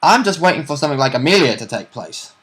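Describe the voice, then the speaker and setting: A man's voice: fairly high pitch, big pitch swings, quick pace, fairly bright tone, husky. A man chatting casually as a player and co-host, with informal, joking banter. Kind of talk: casual gaming chat.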